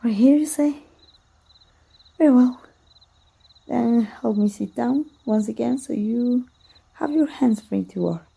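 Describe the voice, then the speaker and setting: A woman voicing a proud, teasing, wounded immortal warrior in an ASMR roleplay, with soft, breathy, archaic formal speech. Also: a little high, slow pace, pauses often, heavily acted. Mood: resigned, calm.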